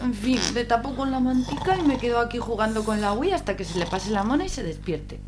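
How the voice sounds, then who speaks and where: A young, prudish and doting girlfriend in a comic radio serial, acted by a woman. Her whiny voice is high and very animated, with an accent from Spain and faint noise behind. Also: a little fast, close to an everyday voice. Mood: fond. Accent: Spanish, from Spain. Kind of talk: comedic radio serial acting.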